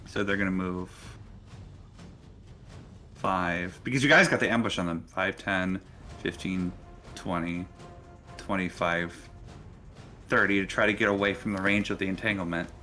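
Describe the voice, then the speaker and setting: A man narrating a tabletop role-playing game as game master, pausing hesitantly mid-sentence. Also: sits low, pushed and bright. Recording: faint background music.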